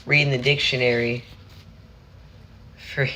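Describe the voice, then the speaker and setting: A hoarse teenage boy chatting casually at the end of a livestream, rambling and restarting his phrases. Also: mid-range voice, little pitch movement, a little slow, noisy background. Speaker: streamer.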